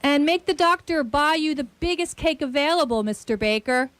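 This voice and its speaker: A woman's voice: high voice, expressive, normal speed, a bit bright, no room sound. A woman playing a pompous, self-assured great detective in a comic radio play, with formal, declamatory delivery. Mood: smugly courteous.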